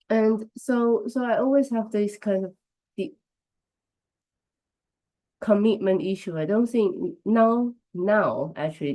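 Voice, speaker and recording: A woman whose first language is Chinese hosts a casual live stream, her voice strained by the flu. Her tone is dark and mellow, pitched slightly low, and she chats loosely, restarting phrases and greeting viewers by name as they arrive. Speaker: livestream host.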